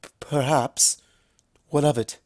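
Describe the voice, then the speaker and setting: A man's voice: mid-range voice, fairly even intonation, takes it slowly, darkish tone, no echo, natural voice. A man voicing a proud, flustered young pony princess. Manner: formal, indignant, questioning. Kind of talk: fan fiction story reading.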